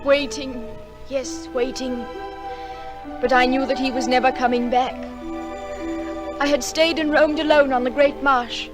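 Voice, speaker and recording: A woman's voice: high-pitched, level tone, a little slow, pauses often. An actress playing a lovelorn young woman, delivering a poetic, yearning monologue in a radio drama. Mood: wistful. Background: music.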